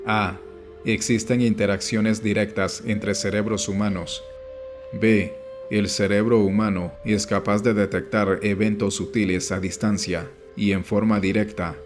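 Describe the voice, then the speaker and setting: Low-pitched man reading an audiobook evenly. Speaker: audiobook narrator. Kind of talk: scripted audiobook narration of nonfiction. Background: background music.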